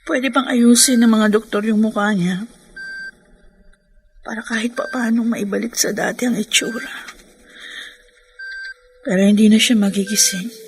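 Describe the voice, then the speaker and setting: A woman playing a weary, helpless wife and mother in a radio drama, speaking in a subdued, halting way. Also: mid-range voice, steady tone, soft background music, natural voice. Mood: numb despair. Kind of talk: Tagalog radio drama acting.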